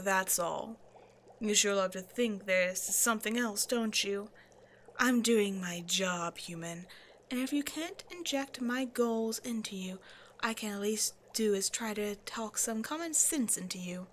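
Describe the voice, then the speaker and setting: A woman voicing a cold, century-old female vampire scientist in an audio roleplay, speaking in a dry, measured, condescending way straight to the listener. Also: medium pitch, a bit bright, natural voice. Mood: exasperated, stern. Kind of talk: scripted ASMR roleplay monologue, speaking to a listener.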